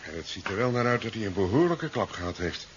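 An actor playing a calm, caring and practical scientist in a 1970s science fiction radio drama, speaking in a natural conversational way. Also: male voice, lowish voice, quick pace. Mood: concerned, soothing. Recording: dull, noisy 1970s radio recording.

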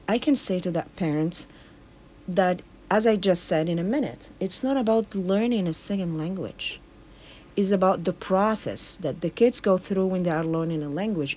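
Earnest woman, slightly low voice. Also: normal speed, mellow. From Morocco. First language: Arabic. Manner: earnest, explaining with repeated phrases. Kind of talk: casual radio chat.

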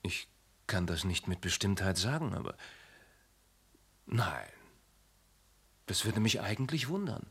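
An actor playing an insurance agent in a radio play, precise and wry, a little condescending. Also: male voice, lowish voice, very animated, measured pace, long pauses, fairly bright tone, breathy edge, heavily acted. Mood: explaining. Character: polished, ironic male insurance agent.

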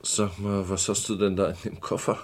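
A man playing a smooth-talking, patronizing uncle with menace underneath in a German radio crime drama. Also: low voice, little pitch movement, moderate pace, background noise, lightly acted. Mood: falsely friendly.

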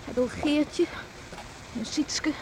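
A woman's voice: sits high, takes it slowly. A woman playing a sensible, disapproving young country woman in a radio serial, plain-spoken and sober in manner. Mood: brooding. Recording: noisy old radio play recording.

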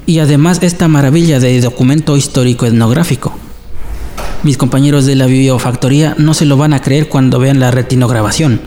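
An actor playing an earnest young time traveler from the future, lost in musing over a painting in an amateur radio drama. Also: male voice, mid-range voice, steady tone, a little fast, mellow, in a large hall, slight background noise, close to an everyday voice. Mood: rapturous. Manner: dreamy, earnest inner musing.

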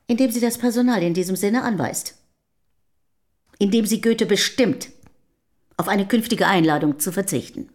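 An actress in a German radio play playing a proud, self-assured middle-aged wife of a poet, speaking in formal, measured period diction. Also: female voice, medium pitch, a little mellow, close to an everyday voice. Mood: firm.

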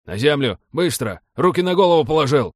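A man's voice: medium pitch, normal speed, no room sound, studio quality, lightly acted. Man acting barking, aggressive masked police commando. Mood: shouting, urgent. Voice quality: muffled with a commanding rasp.

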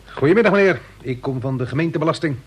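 An actor playing a timid, gullible young tax collector who is polite and stammers hesitantly in a radio play. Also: male voice, on the high side, lively intonation, fast, breathy edge. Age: young adult.

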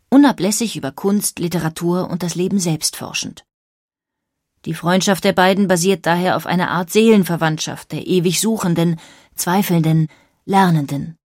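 A woman reading scripted biographical narration with measured, deliberate phrasing. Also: on the low side, a little mellow, no echo, studio quality. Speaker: documentary narrator. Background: music.

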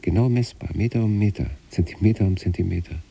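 An actor playing a troubled, detached sanatorium patient who recites letters to his wife in a measured, brooding radio play monologue. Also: low-pitched, creaky, warm tone, slightly rough, exaggerated voice. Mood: introspective, estranged.

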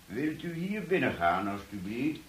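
An actor playing a deferential butler of a grand house in a radio drama, formal and servile. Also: male voice, medium pitch, sing-song, measured pace, very noisy.

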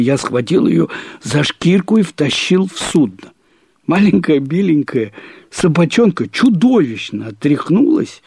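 A man narrating a first-person literary short story in a deliberate, wryly humorous manner, with measured pauses and repetitions. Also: fairly high pitch, creaky, darkish tone, slightly rough, dry recording, studio quality.

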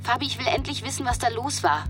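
An actress playing an insistent adult investigator in a radio drama, pressing and demanding. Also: female voice, fairly high pitch, lively intonation, fast talker, over music, close to an everyday voice. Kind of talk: naturalistic German radio drama acting.

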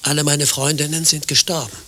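An actor playing a mournful, guilt-ridden man with an anguished, halting delivery in a grotesque radio play. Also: on the high side, flat delivery, bright, forceful tone, slight background noise. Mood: gloomy, lamenting.

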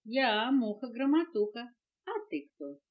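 A self-important big lady housefly from a storybook, acted out by a woman reader. She brags in a haughty, stretched-out way, swinging widely in pitch. The sound is a dull home cassette. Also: big pitch swings. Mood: welcoming, curious. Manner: haughty, drawn-out bragging. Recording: muffled home cassette recording.

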